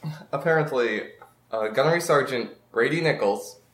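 A man co-hosting a comedy podcast, reading out odd news and dropping deadpan one-liners in casual chat. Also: mid-range voice, normal speed. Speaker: podcast co-host presenting stories. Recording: echoey room.

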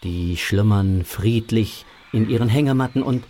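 A man's voice: on the low side, unhurried, mellow. A man narrating a scripted audio story for children.

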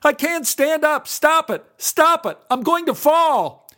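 A man voicing a frail, excitable young male theater director with a high, shrill voice. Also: slow pace, exaggerated voice. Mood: panicked. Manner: high-pitched, shrill when panicked.

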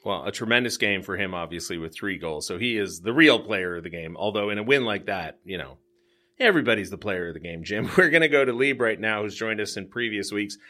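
Bright-voiced male show host. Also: on the low side, lively intonation, fairly quick. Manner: upbeat, laughs easily. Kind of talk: casual sports chat.

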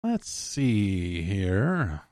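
A man's voice: low voice, sing-song, extremely slow, a little mellow, dry recording, clean studio sound. A man hosting a podcast solo, chatting casually in a rambling, opinionated, profane way. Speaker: podcast host answering listener mail.

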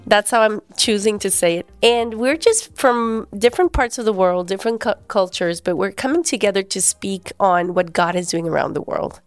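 A woman's voice: medium pitch, normal speed, few pauses, no echo, soft background music. A woman, hosting a podcast and telling a personal faith story in an earnest, conversational way. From Guatemala. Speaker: podcast host.

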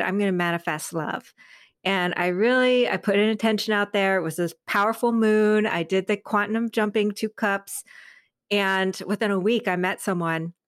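A middle-aged woman speaks as a guest in a relaxed, informal chat. Her voice sits at an average pitch, and she tells personal anecdotes in an animated way. The sound is dry, with very little room on it. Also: smooth, no room sound. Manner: animated storytelling, acting out quoted remarks; informal, peppered with "like". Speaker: podcast guest.

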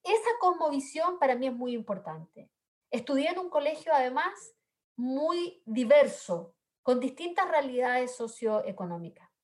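A woman's voice: sits high, expressive, measured pace. A woman from southern Chile with a Chilean accent, speaking earnestly as a panelist, with frequent fillers and tag questions. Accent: Chilean Spanish. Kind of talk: panel discussion remarks.

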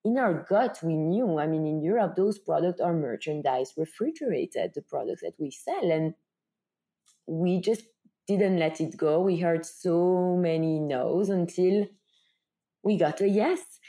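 A woman's voice: on the low side, lively intonation, measured pace, dark tone. A woman from Belgium with a Belgian accent, answering interview questions as a brand founder in a conversational, explanatory way, often posing rhetorical questions.

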